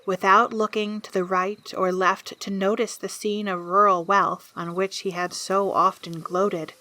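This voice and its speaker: A woman giving measured, scripted literary narration for an audio drama. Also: medium pitch, flat delivery, pushed and bright. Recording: clearly noisy background with clicks.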